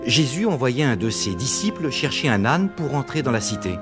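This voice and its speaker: A man's voice: medium pitch, sing-song, moderate pace, lightly acted. A man playing a storyteller who recounts Gospel events to children in a cartoon. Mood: storytelling. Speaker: narrator. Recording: loud music behind the voice.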